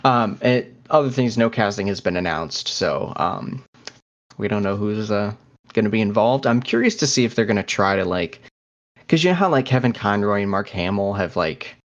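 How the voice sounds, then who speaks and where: A man's voice: lowish voice, animated, normal speed, lo-fi sound. A young man co-hosting a podcast, chatting casually about pop culture and rambling into side notes. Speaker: podcast co-host.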